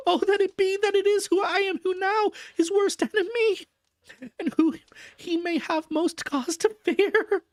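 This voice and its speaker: A frantic young woman in a high, wailing falsetto, pleading through sobs, as a man puts her on. The voice sits far above his own and breaks into cries. Recorded close and dry. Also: unhurried, no echo. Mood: anguished.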